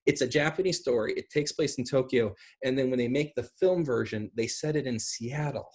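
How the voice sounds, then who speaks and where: Husky-voiced man in his forties. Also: medium pitch, fairly quick, darkish tone. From small rural town. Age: 40s. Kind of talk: casual discussion on a video call. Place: small room at home, indoors. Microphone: computer on a video call.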